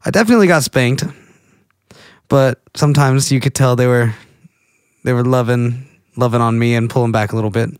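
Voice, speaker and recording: A man's voice: medium pitch, fairly quick, long pauses, clean tone, no echo, studio quality. A man in his late twenties with a Texas accent, rambling goofily through a casual monologue as a podcast host. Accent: Texan. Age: late 20s. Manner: goofy, rambling, self-interrupting.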